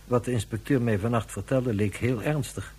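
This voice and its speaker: A male actor plays a sceptical but fair psychiatrist in a radio drama. His voice is very husky and soft-edged, with a mellow, dark tone, and he speaks formally and thoughtfully. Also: mid-range voice, lightly acted. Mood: calm, measured. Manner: deliberate, formal, pensive. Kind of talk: radio play dialogue.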